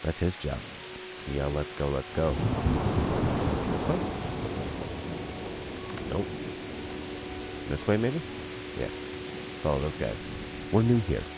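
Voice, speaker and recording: A man's voice: extremely low pitch, sing-song, slow pace, vocal fry, pauses often, heavy background noise. A man giving rambling, joking commentary as he plays a game and thinks aloud. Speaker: let's play streamer. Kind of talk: casual gameplay commentary. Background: game music.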